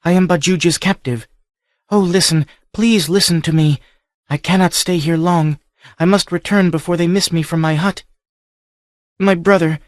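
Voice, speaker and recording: A man's voice: a little high, fairly even intonation, moderate pace, no room sound, clean studio sound, voice pushed up, close to an everyday voice. A man reading an audiobook, voicing a desperate young noblewoman held captive, pleading in a breathless, urgent way. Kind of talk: audiobook character voice.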